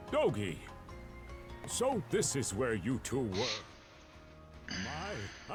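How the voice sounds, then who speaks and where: A courteous, eager scholar from a video game. His mid-pitched voice swings with lively intonation. He speaks in formal, eloquent, earnest phrases over clear music. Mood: amazed. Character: courteous, eager male scholar of herbs, full of wonder.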